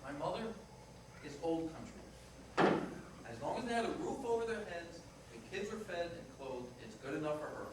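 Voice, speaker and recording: A man's voice: on the high side, animated, moderate pace, lightly acted. A man acting a restless, blunt Italian-American ex-fiancé in a staged play reading. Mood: bitter, insistent. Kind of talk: staged reader's theater dialogue. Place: live staged reading in a reverberant room. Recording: echoey, noisy room, distant mic.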